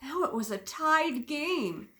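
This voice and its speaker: A woman's voice: high-pitched, animated, slow, a bit bright. A woman teacher reading a children's storybook aloud expressively, with emphatic sound words and simple asides to young listeners.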